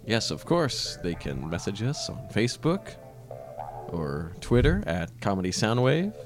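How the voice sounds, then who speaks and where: A man's voice: lowish voice, very animated, unhurried, music in the background. A man co-hosting a comedy podcast, eager and agreeable, sometimes flustered. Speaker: podcast co-host. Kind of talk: improvised comedy podcast banter.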